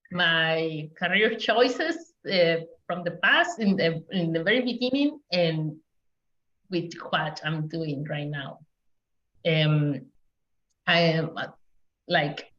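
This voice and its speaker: Woman panelist, slow and hesitant, distant roomy call audio. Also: on the low side, fairly bright tone, lo-fi sound. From Mexico. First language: Spanish. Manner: measured, hesitant with many fillers. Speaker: community engagement specialist, panelist. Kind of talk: panel talk on a video call.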